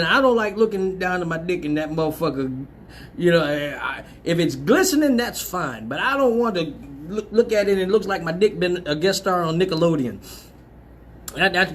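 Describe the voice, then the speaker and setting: A man's voice: high-pitched, animated, fairly quick, a little hiss or hum. A man hosting a live advice show, talking bluntly and profanely, laughing often and repeating himself as he goes. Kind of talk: crude casual advice talk.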